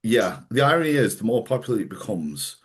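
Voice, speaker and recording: Man, host, slightly low voice, loose sweary storytelling, casual podcast chat. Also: brisk, breathy edge. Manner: swears freely, animated storytelling with quoted voices.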